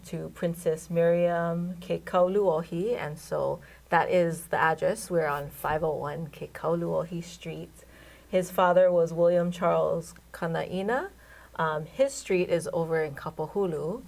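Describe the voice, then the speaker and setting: A woman from Hawaii, a care home chief, giving measured interview answers about its history with frequent fillers. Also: on the low side, gravelly, darkish tone. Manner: measured, explanatory, many um fillers. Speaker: guest, care home CEO.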